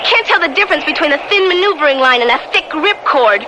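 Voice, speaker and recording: Very high-voiced, feisty teenage girl. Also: animated. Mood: indignant. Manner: feisty, quick to retort. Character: spirited blind teenage girl, plucky and sharp-tongued. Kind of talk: radio drama acting. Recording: noisy radio drama with crowd behind.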